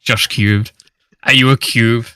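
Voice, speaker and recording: A man's voice: mid-range voice, steady tone, slow, bright, forceful tone, clean tone. A man, chatting casually and slangily on a livestream, swearing freely. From New Zealand. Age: 20s. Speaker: streamer.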